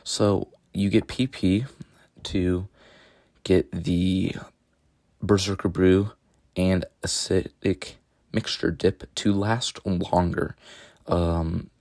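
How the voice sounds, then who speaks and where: A man with a rough voice narrating a video game strategy guide, explaining step by step in a casual, joking way. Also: sits low, flat delivery, extremely slow, long pauses, darkish tone, dry recording, clean studio sound. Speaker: gaming tutorial narrator. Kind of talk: gameplay commentary voice-over.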